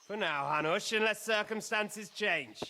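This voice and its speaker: High, sharp-voiced sly villain. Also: male voice, measured pace, in a large hall, slight background noise, exaggerated voice. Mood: smug, taunting. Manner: sardonic, taunting, projecting loudly. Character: defiant, sly male villain holding a castle. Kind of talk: cinematic video game acting.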